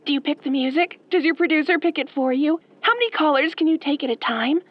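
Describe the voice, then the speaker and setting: A woman's voice: sits high, expressive, no room sound. A woman playing an eager, girlish, obsessive fan with a menacing streak, gushing questions in an audio roleplay. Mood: excited, gushing. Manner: gushing, rapid-fire questions. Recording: muffled phone-in caller line.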